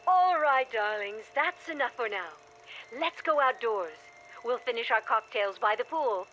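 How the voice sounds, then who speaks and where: High, lilting glamorous star. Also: female voice, animated, a little slow, fairly bright tone, slight background noise, rough recording. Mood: gracious, carefree. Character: breezy, glamorous female film star hostess. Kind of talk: old television drama acting. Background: faint music.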